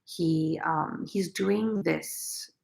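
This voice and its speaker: Young female crochet streamer, low raspy gravelly voice, loose chatty delivery full of fillers. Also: extremely slow, a little mellow. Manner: rambling, many fillers. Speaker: crochet vlogger. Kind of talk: casual livestream chat, reading comments.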